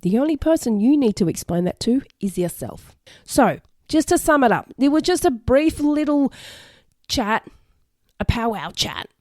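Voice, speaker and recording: A woman hosting a solo advice podcast, her voice a little croaky from recent illness, earnest and reassuring as she repeats her key points. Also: on the high side, very animated, normal speed, a little mellow, no echo, studio quality. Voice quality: slightly hoarse. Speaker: podcast host.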